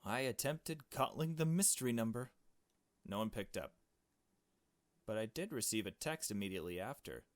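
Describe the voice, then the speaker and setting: A man's voice: on the low side, expressive, normal speed, pauses often, slight background noise. A man reading a visual novel aloud and commenting casually in a slangy, informal way. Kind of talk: casual gameplay commentary and narration.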